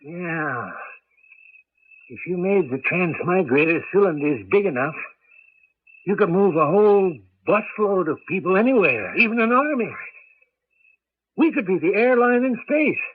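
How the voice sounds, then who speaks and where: A doting, excitable old grandfather in a 1980s radio play. His voice sits high for a man and is rough and husky. The sound is thin and narrow, like an old broadcast. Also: a little slow, long pauses, heavily acted. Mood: dreamy, pleased. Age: older. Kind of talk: 1980s radio drama acting. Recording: tinny, band-limited old radio broadcast.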